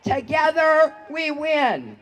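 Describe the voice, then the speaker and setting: A woman human rights activist giving a slow, solemn rally speech into a microphone outdoors, building to shouted calls to the crowd. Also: sits high, sing-song, very noisy. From United States. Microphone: public address microphone.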